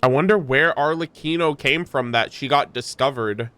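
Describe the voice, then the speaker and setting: A man hosting a gaming video, giving casual, expressive, slangy reaction commentary close to the mic. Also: mid-range voice, normal speed, clear, resonant tone. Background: game music.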